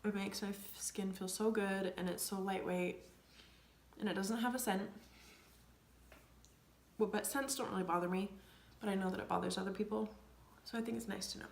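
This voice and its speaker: Sniffly young woman with vocal fry. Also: mid-range voice, fairly even intonation, moderate pace, slight background noise. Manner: chatty, rambling, many fillers, drops to soft near-whispers. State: sniffly, coming down with a cold. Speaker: beauty vlogger. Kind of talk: casual makeup tutorial chat. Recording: echoey room.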